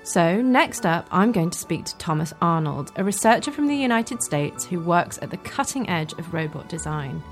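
A woman's voice: lowish voice, sing-song, moderate pace, hardly any pauses, soft background music. A woman presenter delivering scripted linking narration.

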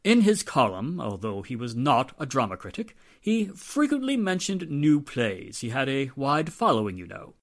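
An actor playing a nervous, prissy, evasive murder suspect in a 1940s-style radio drama, flustered and theatrically precise. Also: male voice, mid-range voice, wildly swooping pitch, normal speed, hardly any pauses. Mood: defensive.

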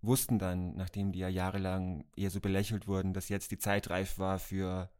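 A man's voice: low voice, completely flat delivery, normal speed, darkish tone, clear, resonant tone. A man, co-hosting a podcast in a playful, mock-serious way. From Austria. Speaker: podcast co-host. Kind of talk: casual podcast chat.

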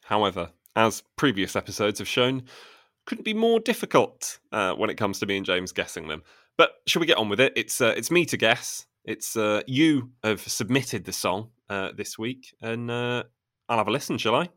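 A man with a lowish voice hosts a podcast in a chatty, self-mocking way. He often restarts his sentences. The recording is dry, with no room around him. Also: sing-song, normal speed, fairly bright tone, no echo. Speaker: podcast co-host. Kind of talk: casual podcast chat.

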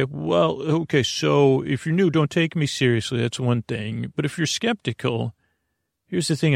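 Male sleep-podcast host, heavy vocal fry, droning, halting, meandering improvised monologue, goofy and self-deprecating, very dry sound. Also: medium pitch, no echo. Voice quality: creaky. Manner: meandering, halting, repetitive, with trailing tangents; drawn-out words, droning. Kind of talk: rambling improvised bedtime monologue.

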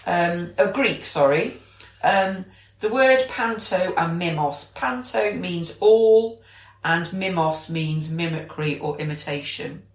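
A woman's voice: lowish voice, animated, slow. A British woman co-hosting a podcast in casual, chatty conversation, explaining things as she goes. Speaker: podcast co-host. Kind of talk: casual chat. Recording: roomy, echoey sound.

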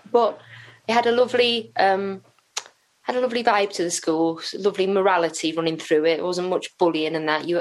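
A woman's voice: lowish voice, poor audio. Chatty woman, rambling. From North Wales. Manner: chatty and rambling, with many fillers, restarts and laughs. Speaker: guest. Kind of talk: casual interview chat.

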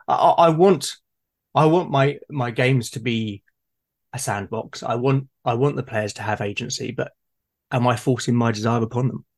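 Musing man with halting delivery. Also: medium pitch, vocal fry. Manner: halting, musing, repeats sentence starts. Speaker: host and game master in a conversation. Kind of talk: casual reflective discussion.

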